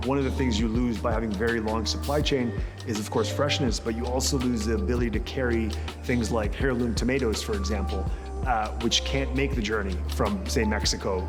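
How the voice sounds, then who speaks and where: A man from Israel, founder of an urban farming company, explaining his mission in an earnest, measured way. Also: on the low side. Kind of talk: interview-style talk to camera. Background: background music.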